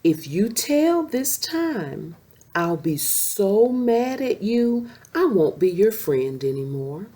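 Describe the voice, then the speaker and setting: A woman voicing an earnest, quick-tempered young female lion cub in a children's storybook, speaking with emphatic, childlike directness. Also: mid-range voice, sing-song, dark tone, voice pushed up. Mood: secretive, warning.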